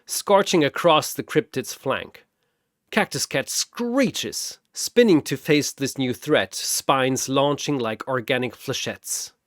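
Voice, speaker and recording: A male narrator tells an action story with theatrical punch. His medium-pitched voice is close and dry, delivering scripted prose dramatically. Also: expressive, takes it slowly, no room sound.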